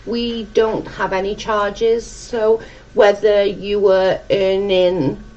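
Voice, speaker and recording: A woman's voice: medium pitch, little pitch movement, slow, darkish tone. A woman in her forties with a South Welsh accent, chatting casually as a livestream host and reading out comments. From South Wales. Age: 40s. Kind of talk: casual chat with live viewers, reading out comments. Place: dim indoor room at home. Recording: muffled, clearly noisy room recording.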